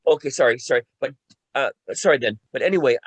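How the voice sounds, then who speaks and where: A man's voice: medium pitch, fast, husky, no room sound. A man from the United States with a light tenor voice, chatting casually in a panel discussion about acting. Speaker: voice actor in the radio play.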